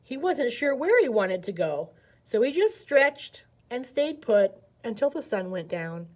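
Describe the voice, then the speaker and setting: A woman reads a storybook to children in a fairly high-pitched voice. Her delivery is expressive, with dramatic emphasis that suits a read-aloud at story time. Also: a little slow.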